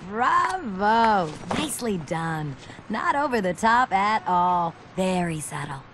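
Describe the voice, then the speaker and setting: A woman's voice: medium pitch, very animated, takes it slowly, fairly bright tone, a little hiss or hum. An actress voicing a streetwise, sarcastic young punk woman in a video game cutscene. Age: young adult. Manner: wry, sarcastic, teasing.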